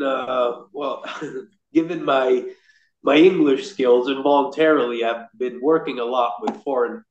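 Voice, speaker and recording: A man in his thirties from Rome with a light Italian accent, chatting as a real estate guest on a video call, often restarting his sentences. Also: mid-range voice. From Rome, Italy. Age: 30s. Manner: chatty, frequent restarts and fillers, gestures with hands. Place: indoor room at a desk. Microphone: laptop camera on video call. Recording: roomy, dull video call audio.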